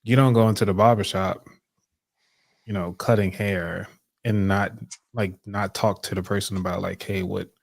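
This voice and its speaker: A man's voice: sits low, fairly even intonation, normal speed, vocal fry, no room sound. A man co-hosting a casual podcast discussion, talking in a loose, rambling way with many fillers. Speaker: podcast co-host.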